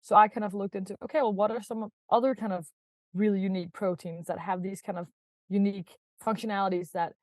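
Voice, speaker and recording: Woman guest from Denmark, mid-pitched, talkative and giggly; close, dry mic. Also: fairly even intonation, fast talker, a little mellow, dry recording. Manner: chatty, laughs often, many "like" and "kind of" fillers. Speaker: guest, startup founder. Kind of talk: casual podcast interview.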